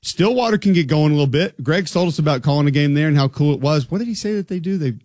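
Chatty sports radio host. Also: male voice, on the high side, lively intonation, quick pace, a little mellow, no echo, studio quality. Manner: chatty, emphatic, jokey. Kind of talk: sports talk show.

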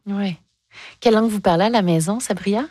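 A woman leads a conversational interview. Her voice sits at a middle pitch. The sound is dry, with little room around it. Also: a little fast, dark tone, dry recording. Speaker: interview host.

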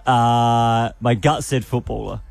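A man's voice: medium pitch, steady tone, takes it slowly, creaky, over music. A man co-hosting breakfast radio, easygoing and self-mocking as he plays along with a quiz. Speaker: radio co-host.

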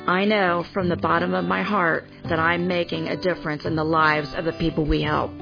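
A woman's voice: fairly low pitch, level tone, normal speed, creaky. A woman debt counselor giving a sincere radio ad testimonial. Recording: lo-fi radio ad with music bed.

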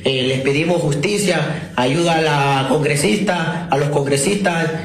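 A man from Honduras, making a halting, pleading appeal for help. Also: fairly high pitch. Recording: roomy echoing clip.

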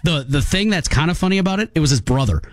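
A man's voice: a little high, expressive, fast talker, no echo, studio quality, faint music behind. A man co-hosting a morning radio show, telling stories in a casual, wry way. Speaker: radio co-host. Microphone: studio microphone.

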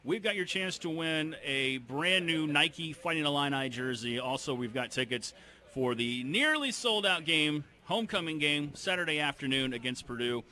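A man's voice: a little high, animated, normal speed, pushed and bright. A man co-hosting a sports radio show from a bar, chatting upbeat and reading out the text line and specials. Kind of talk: casual sports talk and sponsor reads. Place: sports bar remote broadcast. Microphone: headphones and studio mic.

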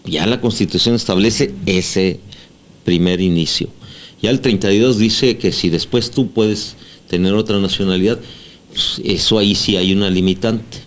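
A man's voice: on the low side, normal speed. A man with a Mexican accent co-hosting a radio show, explaining at length and checking that he is followed. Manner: explains at length, asks for agreement. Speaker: radio co-host. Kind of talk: casual radio talk.

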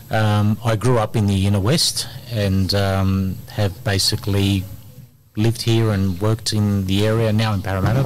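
A man's voice: lowish voice, a little hiss or hum. A middle-aged Australian man, an immigration lawyer, talking energetically in a casual radio interview with plenty of ums and uhs, recorded in a community centre hall.

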